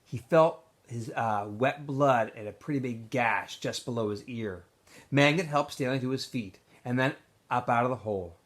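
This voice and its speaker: A man's voice: mid-range voice, normal speed, fairly bright tone. A man reading a children's novel aloud as a teacher would, in an informal way with small stumbles and self-corrections.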